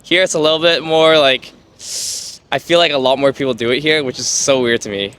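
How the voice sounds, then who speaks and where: Brief: man from Canada, bright tense voice, rambling street interviewee, faint traffic behind. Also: medium pitch, fairly quick. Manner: rambling, heavy use of "like". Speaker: interviewee, tourist. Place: outdoors on a street.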